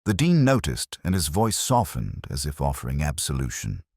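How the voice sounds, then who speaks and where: A middle-aged man reading a scripted first-person detective story in a measured, brooding manner, with deliberate pauses. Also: extremely low pitch, wildly swooping pitch, a little mellow, breathy edge, no echo, clean studio sound. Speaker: narrator.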